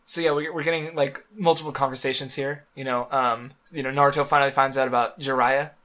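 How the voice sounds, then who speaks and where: Rambling man, bright forceful voice. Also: medium pitch, flat delivery. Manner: rambling, halting, many restarts and fillers. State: throat-clearing, a bit hoarse. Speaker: podcast co-host. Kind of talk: casual chat about manga.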